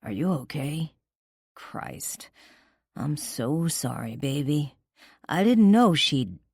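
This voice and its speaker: A woman voicing a furious, possessive male rock musician who shouts curses and barks commands in an audiobook. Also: deep voice, big pitch swings, slow pace, creaky, slightly rough, no echo, studio quality, heavily acted. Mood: fierce.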